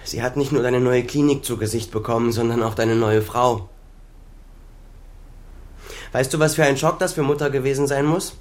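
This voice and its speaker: A man's voice: medium pitch, normal speed, long pauses, natural voice. A man acting in a naturalistic radio drama as a bitter adult son who cuts at his estranged father with reproach. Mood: pressing, accusing. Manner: accusing, reproachful, cutting.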